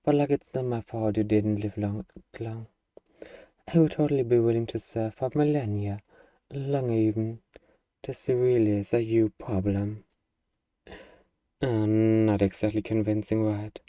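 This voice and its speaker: A man's voice: on the low side, fairly even intonation, unhurried, dark tone, no room sound, recorded from a distance, natural voice. A man playing an eager, bargaining demon who craves a cuddly pet, in a playfully earnest, wheedling ASMR roleplay monologue. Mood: haggling.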